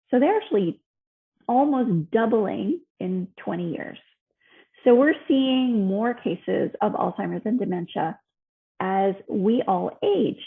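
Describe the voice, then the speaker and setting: An American woman in her fifties talks through a slide lecture in a conversational, explanatory manner. Her voice sits at a medium pitch. The sound is thin and narrow, like an online call. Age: 50s. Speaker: health educator presenting a class.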